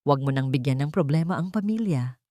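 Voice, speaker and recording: Woman playing stern male attorney uncle, serious, admonishing, lowish voice, storytelling drama. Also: lively intonation, fast talker, dark tone, no room sound, clean studio sound. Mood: grave admonishing. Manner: grave, admonishing.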